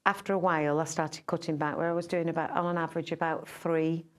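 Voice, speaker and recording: A middle-aged woman entertainer talks casually about her work, rambling with fillers and restarts. Her voice sits very low with little pitch movement. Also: level tone, fast. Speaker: entertainer and singer. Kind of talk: casual interview chat.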